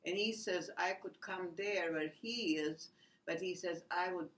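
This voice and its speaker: A woman, recalling her life in a halting oral history interview, full of restarts and fillers. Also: on the low side. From Latvia. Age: 80s. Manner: halting, many restarts and "you know" fillers. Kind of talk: rambling personal recollection.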